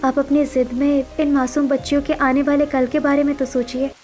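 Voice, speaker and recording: Actress as caring sister-in-law in cartoon melodrama. High voice, earnest coaxing, music underneath. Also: female voice, level tone, moderate pace, darkish tone, lightly acted. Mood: persuasive. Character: caring, persuasive, wealthy younger sister-in-law. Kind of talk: Hindi cartoon family melodrama.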